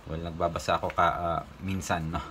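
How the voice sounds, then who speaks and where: A Filipino man hosting a casual unboxing vlog, mixing Tagalog and English in a halting, hesitant way. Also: deep voice, little pitch movement, a bit bright, a little hiss or hum. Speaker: motovlogger host.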